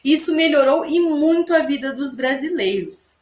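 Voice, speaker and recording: A woman's voice: high voice, expressive, moderate pace, a little mellow. A woman teacher giving a video history lesson in a chatty, explaining way, checking in with her students. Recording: echoey room.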